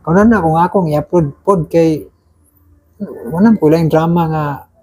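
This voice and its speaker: A man's voice: on the high side, a little slow, a little mellow, slightly rough, poor audio. A man with a Filipino accent chatting casually to listeners as a host, rambling and often adding short tag questions. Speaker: uploader and host of drama channel. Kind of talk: casual unscripted chat to listeners.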